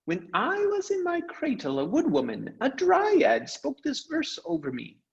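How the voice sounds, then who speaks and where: A man's voice: very high-pitched, huge pitch swings, a little slow, gravelly, highs cut off, pitched above the performer's own voice, exaggerated voice. A man voicing a small, valiant talking mouse with a courtly, earnest, formal manner for a storybook reading. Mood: solemn, earnest.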